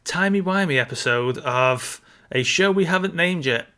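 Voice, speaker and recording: A man's voice: fairly high pitch, animated, moderate pace, bright, forceful tone. A man co-hosting a podcast, talking casually and conversationally about games and films. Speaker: podcast co-host.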